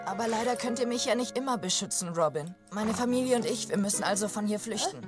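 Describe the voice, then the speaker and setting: A woman's voice: medium pitch, quick pace, lightly acted. A woman voicing a timid, worried peasant boy in a dubbed cartoon. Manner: pleading, anxious. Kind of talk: cartoon dubbing. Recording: loud music score underneath.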